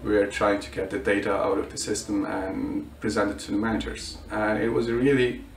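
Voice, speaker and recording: Low gravelly man, echoey room. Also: flat delivery, fairly quick, a little hiss or hum. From Bulgaria. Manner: hesitant, many fillers and restarts. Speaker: student. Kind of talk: interview answers. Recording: roomy echo, mic at a distance.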